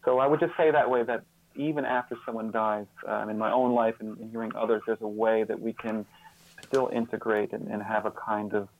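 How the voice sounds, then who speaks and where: A man's voice: lowish voice, level tone, fairly quick, slight background noise. A man speaking as a guest expert in an interview, reflective and earnest, often repeating words as he thinks. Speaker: guest author and social scientist. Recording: muffled phone-like narrow-band line.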